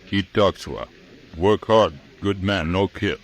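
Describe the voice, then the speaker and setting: Casting: guarded prisoner, deep voice, halting broken English, old radio western. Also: male voice. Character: captive Native man, terse, protesting innocence.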